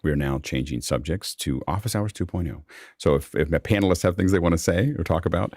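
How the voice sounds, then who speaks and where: A man's voice: low-pitched, quick pace, darkish tone, no echo. A man hosting an online panel in a casual, off-the-cuff way, often repeating words and restarting sentences. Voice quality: gravelly, creaky. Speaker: host of an online panel discussion. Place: online video call, close dry sound.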